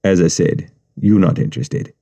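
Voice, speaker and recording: A low, unhurried Jamaican gang boss, as a male narrator puts him on, sneering and cruel under a calm surface. His voice is dark and mellow, dropping deeper for the threats. The audiobook read stays close and steady. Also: gravelly, full character voice. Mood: menacing. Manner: low, slow, mocking menace in patois. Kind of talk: audiobook character reading.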